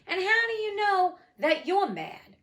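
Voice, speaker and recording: Storybook role: woman as timid little girl, well-mannered and questioning, with animated intonation. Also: extremely high pitch, unhurried, pitched above the performer's own voice, heavily acted. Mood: puzzled. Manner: polite, questioning.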